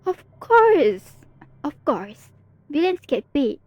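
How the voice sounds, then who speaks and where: High-voiced, teasing female supervillain, acted by a woman. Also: big pitch swings, slow, no room sound, highs cut off, heavily acted. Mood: wry, exasperated. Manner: sarcastic, drawling, mock-dramatic. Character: teasing, theatrical female supervillain, playful and world-weary. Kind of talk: ASMR roleplay audio drama.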